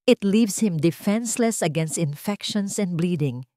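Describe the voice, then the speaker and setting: A woman's voice: on the low side, expressive, unhurried, dark tone, dry recording, clean studio sound. A woman voicing a grave, sympathetic doctor. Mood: sympathetic, explanatory. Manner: professional, mixing English medical terms into speech. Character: grave, sympathetic doctor breaking bad news.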